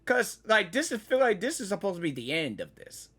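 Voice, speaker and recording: Male game reactor, bright, very lively pitch, excitable, rambling, casual. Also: fairly quick, husky. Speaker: gaming reaction streamer.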